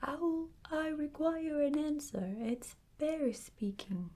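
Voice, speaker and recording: A woman voicing a humble, slow-witted, wistful bear in a children's bedtime story, with a hesitant, stammering manner. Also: sits high, expressive, dark tone, a little hiss or hum, pitched above the performer's own voice. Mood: calling out.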